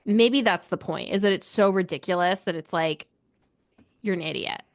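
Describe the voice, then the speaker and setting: A woman's voice: fairly low pitch, moderate pace. A woman co-hosting a podcast, chatting casually about a book in an informal, opinionated and incredulous way. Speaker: book club podcast co-host. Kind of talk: casual book discussion chat.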